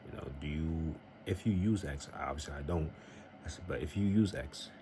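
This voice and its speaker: A young man vlogging in a casual, earnest and somewhat rambling way, giving faith-based advice. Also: normal speed. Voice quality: very deep voice. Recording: noisy home recording.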